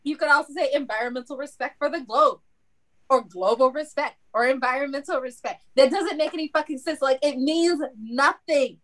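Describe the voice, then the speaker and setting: A woman's voice: high-pitched, moderate pace. A woman in casual panel banter, talking in a blunt, exasperated, sweary manner. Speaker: editor on a panel.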